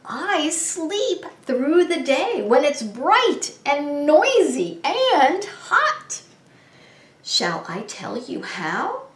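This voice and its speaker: A woman's voice: high voice, big pitch swings, drawn-out words, fairly bright tone, reverberant, pitched above the performer's own voice, full character voice. A woman voicing a kindly, tired female owl who speaks in a soothing, lulling way in a children's storybook. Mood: reassuring.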